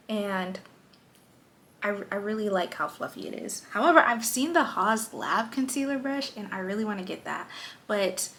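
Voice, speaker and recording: A woman's voice: medium pitch, creaky, fairly bright tone, a little hiss or hum. Chatty young woman doing her makeup. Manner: chatty, playful, joking asides. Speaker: lifestyle vlogger. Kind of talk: casual chatty makeup tutorial. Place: indoors at her vanity.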